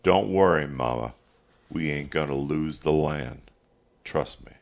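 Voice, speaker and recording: Man acting proud farm father, very low voice, curt, firm, slow delivery, storybook drama. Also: creaky, exaggerated voice. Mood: reassuring. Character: stern, proud Southern farmer father, determined.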